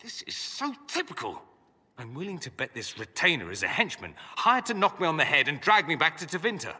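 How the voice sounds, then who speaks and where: An actor playing a witty, haughty aristocratic mage in a video game, speaking with sardonic, theatrical, ornate phrasing. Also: male voice, fairly high pitch, wildly swooping pitch, fairly quick, pushed and bright, husky, long reverb tail, heavily acted. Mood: indignant, wry.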